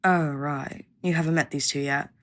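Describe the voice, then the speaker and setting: A woman's voice: low voice, fairly even intonation, normal speed, a little hiss or hum, natural voice. A woman acting a jaded, blunt young creature researcher in an audio roleplay, with a sardonic, grudging manner. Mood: dry.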